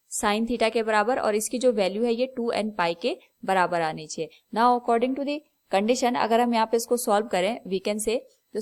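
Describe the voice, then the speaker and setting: A woman with an Indian accent giving a step-by-step lesson as a physics teacher, instructional and measured when stating things. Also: medium pitch. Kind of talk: step-by-step lesson explanation, switching between Hindi and English.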